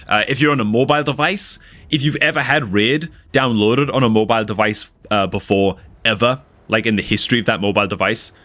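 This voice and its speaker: Low-voiced northeast English man streaming. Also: fairly bright tone. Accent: northeast English, near Newcastle, mixed. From northeast England. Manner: rambling, filler-heavy, talks to chat. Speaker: gaming streamer. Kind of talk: casual livestream chat.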